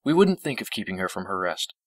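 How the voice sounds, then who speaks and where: A man's voice: low-pitched, sing-song, quick pace, dry recording, studio quality, voice pushed up. A man voicing a tall, honorable young male dragon in a storybook reading, earnest and friendly. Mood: kindly.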